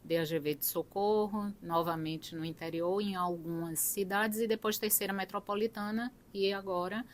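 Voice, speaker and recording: A middle-aged woman with a Bahian Brazilian accent, telling her own story conversationally as a guest, with halting, uneven emphasis. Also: medium pitch, steady tone, slight background noise. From Salvador, Bahia, Brazil. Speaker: police chief, interview guest. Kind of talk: conversational personal account.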